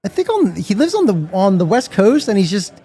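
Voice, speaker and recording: A man chatting easily as a guest on a live stream, laid-back and a little vague, with plenty of filler words. His voice is high for a man, and he sounds relaxed and friendly. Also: brisk, husky. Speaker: composer guest. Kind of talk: casual live-stream chat.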